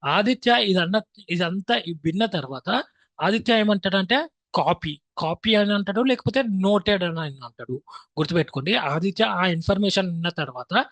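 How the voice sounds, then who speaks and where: A man with a high voice and a Telangana accent explains things like an informal teacher. He goes back over key points and drops English phrases into his sentences. The sound is dry, with very little room in it. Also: normal speed, fairly bright tone, no echo. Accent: Telangana Telugu. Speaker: filmmaking instructor.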